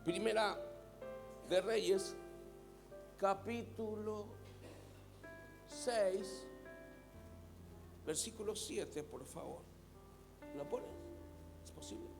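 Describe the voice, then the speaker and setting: Mexican pastor, very high voice, slow and deliberate sermon, soft music underneath. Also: male voice, vocal fry. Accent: Mexican Spanish. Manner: preaching, has the congregation repeat words.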